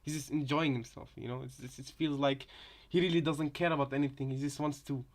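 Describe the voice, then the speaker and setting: A man casually commenting on game voice lines as a co-host, rambling and circling back over his words in a halting way. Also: on the high side, fairly even intonation. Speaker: reaction video co-host.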